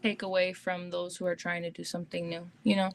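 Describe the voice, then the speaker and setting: A woman guest singer talking relaxed in a casual interview chat. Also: lowish voice, a little fast, creaky.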